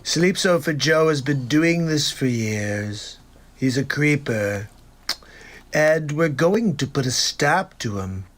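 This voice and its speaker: A man's voice: fairly high pitch, lively intonation, takes it slowly, a bit bright. Sarcastic man mocking clips on a livestream. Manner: sarcastic, incredulous asides. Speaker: livestream comedy host. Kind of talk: mocking commentary between clips.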